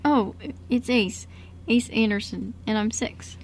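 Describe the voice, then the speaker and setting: A woman's voice: on the high side, fairly even intonation, slow pace. A woman playing a bookish, shy six-year-old boy who stammers a little in an amateur radio drama. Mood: shy, warm. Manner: hesitant, stammering, boyish. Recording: noisy amateur radio recording.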